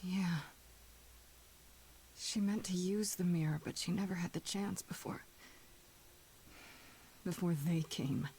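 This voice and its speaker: A woman's voice: fairly low pitch, steady tone, slow pace, pauses often, mellow, slight background noise. An actress playing a distraught, grieving young woman in a dramatic video game, with an emotional, pleading manner. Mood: subdued, questioning.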